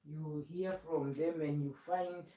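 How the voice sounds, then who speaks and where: A woman's voice: sits low, steady tone, takes it slowly, warm tone, distant mic. Middle-aged woman chatting from a roomy home. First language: Swahili. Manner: chatty, moves around doing chores while talking. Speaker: live streamer. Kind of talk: casual live chat. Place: home, indoors. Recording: roomy sound, speaker often away from the mic.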